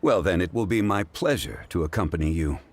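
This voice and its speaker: A man playing a calm, scholarly gentleman consultant in a video game, speaking in a measured, formal, eloquent way. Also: sits low. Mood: composed. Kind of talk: video game character acting.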